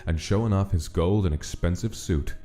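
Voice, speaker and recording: A man's voice: low-pitched, moderate pace, dark tone, soft background music. A man reading dramatic scripted story narration as the narrator of a comic dub.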